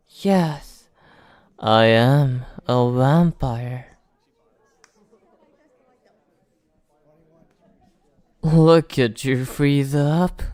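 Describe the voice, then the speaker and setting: Slow, smooth-voiced sly male vampire played by a man. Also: a little high, a little mellow, no room sound. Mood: smug, menacing. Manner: slow, deliberate, mocking, condescending. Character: sly, teasing male vampire posing as small-town informant. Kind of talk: ASMR roleplay monologue to the listener.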